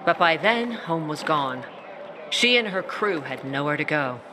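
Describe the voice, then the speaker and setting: Tough soldier woman,, blunt and brisk, noise behind. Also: low-pitched, animated. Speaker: military officer briefing a newcomer. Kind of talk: video game cutscene acting.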